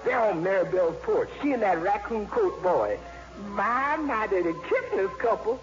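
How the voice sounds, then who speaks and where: An actress playing a folksy, knowing housekeeper in a 1950s radio comedy, bantering slyly in homely, ungrammatical speech. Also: female voice, medium pitch, sing-song, normal speed, lightly acted. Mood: sly, amused. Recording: dull vintage radio broadcast, loud music.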